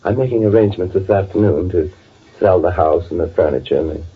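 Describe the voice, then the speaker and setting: An actor playing a guilty, scheming man in an old-time radio thriller, speaking with a halting, nervously polite, confessional delivery. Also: sits low, slightly rough. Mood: solemn, falsely grieving. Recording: tinny, band-limited old radio recording, music behind.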